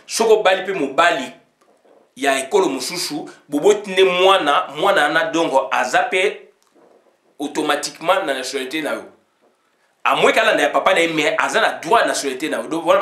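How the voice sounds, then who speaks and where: A man's voice: a little high, expressive, a little fast, a bit bright, echoey room. A man giving an informal political monologue as a commentator, switching between two languages, with many fillers, sometimes reading legal text aloud.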